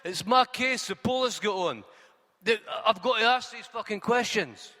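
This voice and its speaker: Scottish man as a crude cabbie, high and loud. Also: moderate pace, in a large hall. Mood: brash, loud. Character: coarse pushy male cabbie, talking loud.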